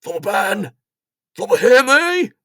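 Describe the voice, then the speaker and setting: A man voicing Bill, a small, cheery, excitable childlike character who babbles in broken exclamations for a children's story. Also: high-pitched, a little slow, no echo, clean studio sound, voice pushed up, full character voice. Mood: urgent.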